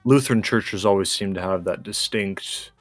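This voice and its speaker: A man's voice: low-pitched, normal speed, no echo, faint music behind. A man co-playing on a gaming show, giving casual commentary in wordy, explanatory phrasing. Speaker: guest co-player on a gaming show.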